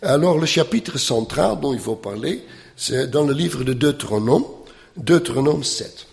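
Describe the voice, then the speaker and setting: Slow halting man, non-native, reverberant hall. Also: mid-range voice, lively intonation, vocal fry. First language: not French. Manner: slow, halting, with frequent "hein" and "euh". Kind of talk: lecture on the Bible.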